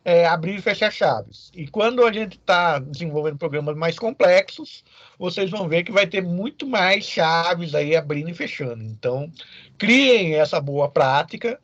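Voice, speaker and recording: A man with a high-pitched voice and a Brazilian accent teaches in an informal, step-by-step way. He often poses short questions to check that students follow. The recording is dry and somewhat muffled, with little room sound. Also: fairly bright tone, dry recording. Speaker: programming teacher. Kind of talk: informal explanatory lecture to students.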